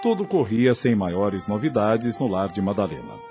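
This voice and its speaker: A man's voice: fairly low pitch, sing-song, normal speed, music in the background. A man with a Brazilian accent giving scripted dramatic narration as the storyteller of an audio drama.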